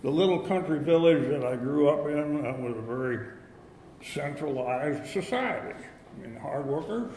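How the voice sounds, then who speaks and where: A man in his eighties from upstate New York, giving a folksy, halting talk at a recovery meeting, with many fillers and restarts. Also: on the high side, animated, darkish tone. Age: 80s. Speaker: AA speaker. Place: meeting hall. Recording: echoey hall, faint background noise.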